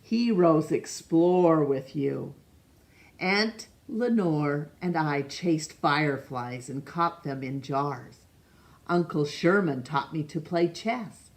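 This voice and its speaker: A woman reading a picture book aloud to children, stopping to ask the listener questions and now and then rereading a name. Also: deep voice. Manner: slow, gentle, asks the listener questions; stumbles over names and rereads them. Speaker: storytime reader.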